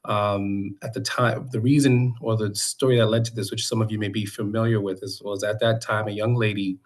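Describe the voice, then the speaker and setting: A middle-aged man from Boston with an American accent and a fairly low voice. He talks informally, like a local candidate introducing himself to neighbors. His delivery is halting, with pauses and self-corrections as he goes. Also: level tone. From Boston, United States. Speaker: city council candidate. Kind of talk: informal campaign introduction speech at a community meeting.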